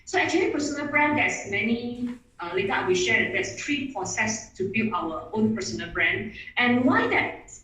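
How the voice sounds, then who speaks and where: A woman with Mandarin as her gives an online presentation. Her voice sits a touch high and sounds off-mic, as if from across a room. Echo rings around every phrase, and she restarts her sentences eagerly. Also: sing-song, normal speed, hardly any pauses, a bit bright. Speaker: webinar speaker. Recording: echoey room audio from a distance.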